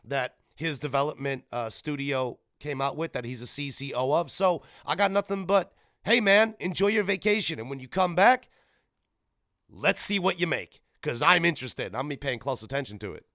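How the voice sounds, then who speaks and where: A man hosting his own podcast in casual chat, animated and swinging up in pitch and loudness for emphasis. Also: moderate pace, dry recording, clean studio sound. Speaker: podcast host.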